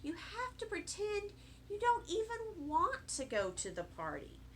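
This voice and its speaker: A woman voicing a patient, coaxing mother in a children's storybook, speaking gently. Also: very high voice, huge pitch swings, a little slow, a little hiss or hum, pitched above the performer's own voice, exaggerated voice. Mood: reassuring. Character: soft-spoken, patient, coaxing mother of a little girl. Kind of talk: children's storybook read-aloud.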